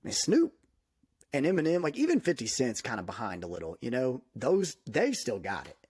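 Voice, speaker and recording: Casual male podcast guest, mid pitch, dry close sound, animated and chatty. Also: a little fast, breathy edge, no room sound. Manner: animated, laughs often, many fillers like "dude". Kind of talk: casual chat.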